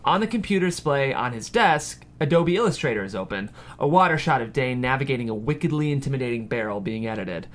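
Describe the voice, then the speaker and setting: A man's voice: medium pitch, expressive, quick pace, few pauses. A man reading scripted screenplay stage directions as the narrator of a table read.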